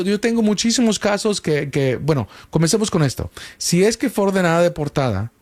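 A man's voice: mid-range voice, a little mellow. Man explaining as a call-in lawyer. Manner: explanatory, asks many questions, often restarts words. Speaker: immigration lawyer hosting a call-in show. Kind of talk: radio call-in legal advice.

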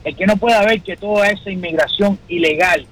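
A man's voice: high voice, brisk, fairly bright tone, low-quality recording. A man calling in with opinionated political commentary, blunt, emphatic and repetitive. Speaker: phone-in caller.

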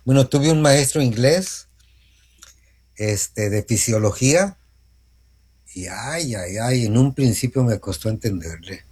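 Brief: male radio host, mid pitch, chatty studio delivery. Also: animated, a little mellow, slightly rough. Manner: chatty, repeats phone numbers slowly. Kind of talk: morning radio talk and sponsor reads.

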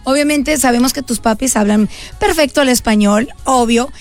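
A woman's voice: high voice, moderate pace. A female radio co-host asking a guest questions in an enthusiastic, friendly way. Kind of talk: morning radio show banter.

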